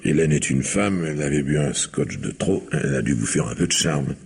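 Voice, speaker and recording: Middle-aged male boss, radio drama, extremely low husky voice, patronising, hesitant, self-satisfied. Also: animated, fairly quick, darkish tone, full character voice. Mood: condescending. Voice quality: gravelly, husky. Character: self-satisfied factory owner pushing out his brother's household. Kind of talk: French radio drama acting.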